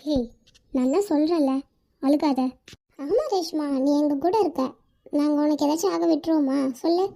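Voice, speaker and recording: A woman's voice: high-pitched, normal speed, creaky, mellow. A woman voicing a caring, bossy young woman who comforts her friend firmly. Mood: soothing. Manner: soothing, firm.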